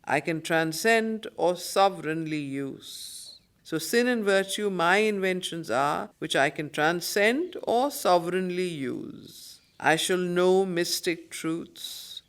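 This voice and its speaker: A woman with an Indian English accent leads a study circle. She moves between solemn verse reading and spoken explanation. Her delivery is deliberate and emphatic, often returning to a phrase to stress it. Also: on the low side, clean tone. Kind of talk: slow poetry recitation with spoken commentary.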